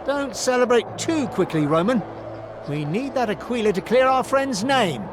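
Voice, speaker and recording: An actor playing a small, clever Gaulish warrior hero in a cartoon game, wry and assured. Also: male voice, extremely high pitch, expressive, moderate pace, noisy background. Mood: determined.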